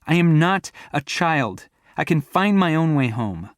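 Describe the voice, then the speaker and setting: A man reading an audiobook voices a defiant, hurt young woman who shouts at her partner, her voice cracking. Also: mid-range voice, takes it slowly, husky, no room sound, studio quality, voice pushed up. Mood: furious.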